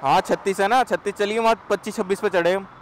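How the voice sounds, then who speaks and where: Young male motovlogger, high voice, excited speed callouts, heavy wind noise from a helmet-cam mic. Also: quick pace. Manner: excited, calls out speeds while riding. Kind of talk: casual riding commentary. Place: on a motorcycle on an open expressway. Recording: loud wind and engine noise. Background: wind and traffic noise.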